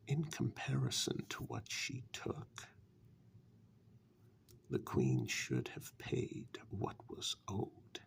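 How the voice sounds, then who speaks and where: Casting: male actor for condescending warlock, ASMR dark fairytale; lowish, extremely slow, soft gravelly delivery. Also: heavily acted. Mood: amused, condescending. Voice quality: soft, breathy half-whisper with gravelly creak. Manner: slow, deliberate, patronising, with drawn-out pauses. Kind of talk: ASMR fairytale role-play.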